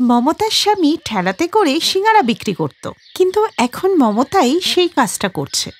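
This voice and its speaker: Female narrator for cartoon stories: high voice, very animated scripted delivery. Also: moderate pace.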